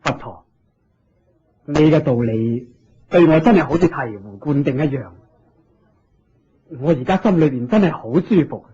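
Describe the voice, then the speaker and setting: An actor playing a humble, eager king in a Cantonese radio drama, with a husky voice, a Hong Kong accent and deferential, expressive exclamations. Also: a little high, normal speed, pauses often. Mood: relieved, delighted. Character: humble, eager king seeking Buddhist teaching. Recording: dull lo-fi radio drama recording.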